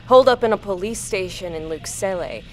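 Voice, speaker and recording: A woman's voice: medium pitch, a little fast, a little hiss or hum, close to an everyday voice. An actress playing a weary, shaken woman survivor in an apocalyptic audio drama, with a blunt, wry way of talking.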